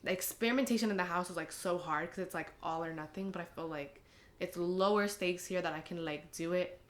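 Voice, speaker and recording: A woman's voice: on the low side, a little fast. A young American woman in casual, reflective conversation, speaking informally with lots of "like" and "you know.". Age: young adult. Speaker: anonymous testimony participant.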